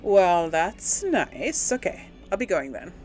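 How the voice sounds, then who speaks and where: A woman's voice: on the low side, big pitch swings, takes it slowly, a little mellow, faint music behind. A woman hosting a casual let's play, musing aloud informally and hesitantly as she talks and role-plays through a game. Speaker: gaming video host.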